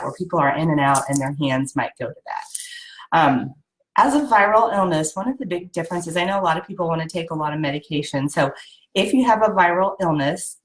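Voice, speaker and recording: Low-voiced woman with a stuffy nose. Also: low-quality recording. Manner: chatty, explanatory, frequent ums and "right?". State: nasal from a head cold. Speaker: diabetes educator hosting a live video. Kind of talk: casual live-stream talk with tips.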